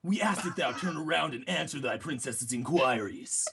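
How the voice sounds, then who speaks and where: A man's voice: a little high, animated, moderate pace, vocal fry, very noisy. A man voicing an imperious pony princess who speaks in formal, archaic royal phrasing, in an amateur story reading.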